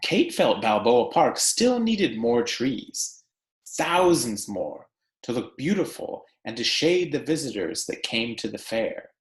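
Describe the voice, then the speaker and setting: A man reads a children's book aloud in the manner of a schoolteacher. His pitch moves up and down in a lively way, and he takes his time, setting phrases apart with emphasis. The room adds an audible echo around his voice. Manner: deliberate, emphatic phrasing with rhetorical questions for young listeners. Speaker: teacher reading a picture book aloud to students. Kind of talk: expressive read-aloud with conversational asides.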